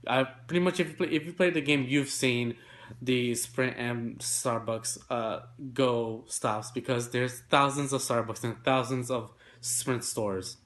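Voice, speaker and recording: A man with a blocked, congested nose reads through technology news stories. He pushes to get through it fast but stays chatty, dropping in fillers and asides as he goes. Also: medium pitch, fairly even intonation, a bit bright. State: congested, nasal. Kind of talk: remote tech news segment.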